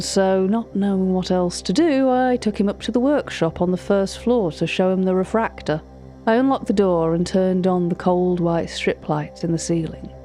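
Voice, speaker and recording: An actress as a cultured woman narrating a mystery audio drama. Her voice is mellow and on the low side, with faint music underneath. She speaks formally and precisely, with dry, wry opinion. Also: close to an everyday voice. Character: cultured, wry art-catalogue narrator recounting uncanny encounters. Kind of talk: scripted narration of an audio fiction.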